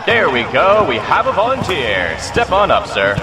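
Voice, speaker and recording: Showy fight-pit announcer with lively swings. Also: male voice, a little high, moderate pace. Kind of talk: theatrical ring announcing in audio drama. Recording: loud music and crowd behind.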